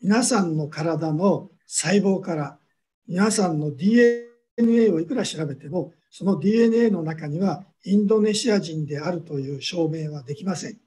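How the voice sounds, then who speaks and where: High mellow guy lecturing slowly, lots of long uhs. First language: Japanese. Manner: measured lecturing with drawn-out fillers. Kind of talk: academic lecture.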